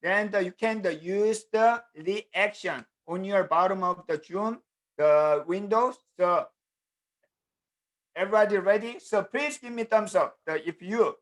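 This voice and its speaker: Korean man teaching in halting English. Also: high voice, a bit bright. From South Korea. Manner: halting, with frequent fillers and repeated "the". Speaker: programming teacher. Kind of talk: online class lecture over video call. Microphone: computer mic on a video call.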